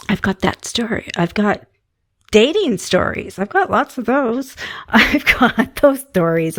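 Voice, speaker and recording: Middle-aged woman, calming voice, halting casual monologue with many fillers, very slow. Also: on the low side, dry recording, clean studio sound. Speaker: meditation channel host.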